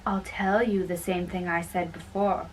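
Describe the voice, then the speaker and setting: A female reader doing an amateur dramatic play reading, a little halting, recorded at a distance from the mic with background noise. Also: lowish voice, fairly even intonation.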